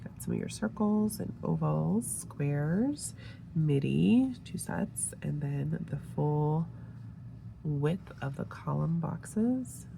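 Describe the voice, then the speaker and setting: A woman getting over a head cold, sounding a little nasal, casually showing off a sticker kit with relaxed, gushing asides. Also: low voice, slow, creaky, slight background noise, poor audio. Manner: relaxed, gushing, with fillers and soft trailing asides. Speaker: planner sticker reviewer. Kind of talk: casual show-and-tell narration.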